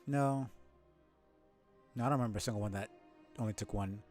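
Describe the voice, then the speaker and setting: A man with a fairly low voice talks through a live gameplay stream in a loose, casual way. He mutters, swears at setbacks and explains things to his viewers as he plays. Faint game music runs underneath. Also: brisk, a little mellow. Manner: casual, frustrated muttering and swearing while playing.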